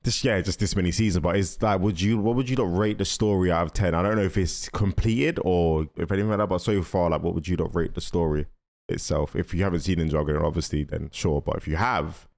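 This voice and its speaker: A man's voice: sits low, fast talker, vocal fry, darkish tone. A man in his twenties giving casual, rambling reaction commentary in slang, close on a desk microphone. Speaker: reaction video host. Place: small carpeted room indoors. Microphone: close desk microphone, headset on.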